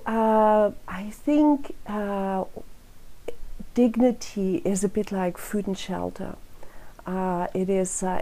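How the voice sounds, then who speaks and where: A middle-aged woman with a German accent, the director of a crime prevention council giving an earnest, reflective speech at a community rally, pausing often on "uh". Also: lowish voice, mellow, poor audio. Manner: earnest and reflective, with frequent "uh" pauses.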